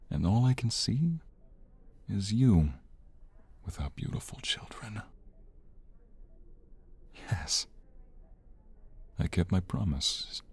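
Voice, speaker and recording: Man acting a very husky, deep-voiced devoted mobster. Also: heavily acted. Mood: loving, emotional. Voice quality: husky, gravelly. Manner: earnest, sentimental, hushed, unhurried. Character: devoted reformed mobster, tender husband and expectant father. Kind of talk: ASMR romance roleplay acting.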